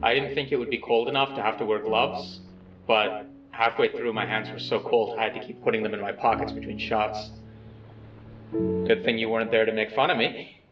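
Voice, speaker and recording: An awkward, earnest man played by an actor talks haltingly into a video letter, modest and unsure of himself. His voice sits a little low. It comes through echoey and somewhat distant, as a played-back recording. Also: fairly even intonation, lightly acted. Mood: hesitant, subdued. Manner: hesitant, halting, softly self-effacing. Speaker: narrator of a personal video letter. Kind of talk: low-key found-footage video message monologue. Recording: dull, echoey, distant playback with music.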